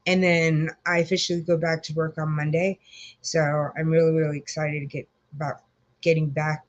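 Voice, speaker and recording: A woman's voice: low voice, flat delivery, normal speed, highs cut off. A woman hosting a casual live chat, congested, rambling with many fillers as she greets viewers. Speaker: livestream host.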